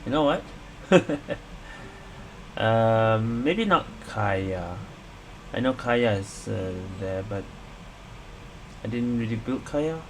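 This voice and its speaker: Female gameplay streamer: very deep voice, slow casual reactions, noisy mic. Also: lively intonation. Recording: noisy mic with game audio.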